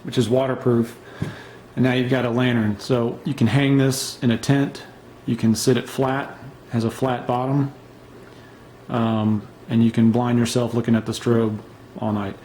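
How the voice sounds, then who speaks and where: Relaxed low-key man reviewing gear. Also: measured pace, a little hiss or hum, low-quality recording. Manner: relaxed, unscripted, many fillers and restarts. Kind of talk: casual show-and-tell review.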